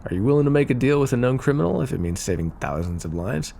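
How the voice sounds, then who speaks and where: Guy acting smug flirty mob boss in an asmr roleplay, teasing, pitch moves around a lot, some noise behind him. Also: expressive, fast talker, warm tone. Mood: persuasive, confiding. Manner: teasing, smooth-talking, theatrical. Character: flirty, smug, scheming male mafia boss. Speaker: voice actor in audio roleplay.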